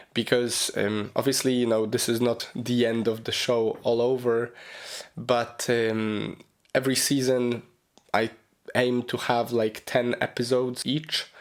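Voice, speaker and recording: A man hosting a solo podcast, his voice raspy and hoarse from a cold, talking loosely with lots of ums and you-knows. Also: medium pitch. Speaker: podcast host. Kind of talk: casual solo podcast monologue.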